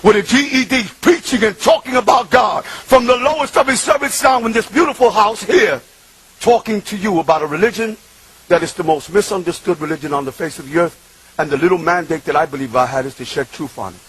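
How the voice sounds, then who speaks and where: A man, preaching an impassioned sermon that swings from loud shouting to hushed murmurs. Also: high voice, fairly quick, husky, poor audio. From Bronx, New York.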